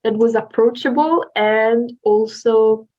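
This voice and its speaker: A woman's voice: on the high side, takes it slowly, warm tone, lo-fi sound. Swiss-woman co-hosting a podcast. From Italian-speaking Switzerland. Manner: earnest, explanatory, with some hesitations. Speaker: podcast co-host. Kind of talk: casual podcast chat.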